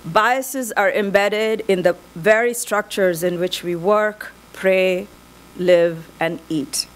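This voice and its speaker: A woman's voice: mid-range voice, low-quality recording. Woman moderating a conference panel. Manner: measured, some restarts when off-script. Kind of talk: opening remarks and introductions. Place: conference hall.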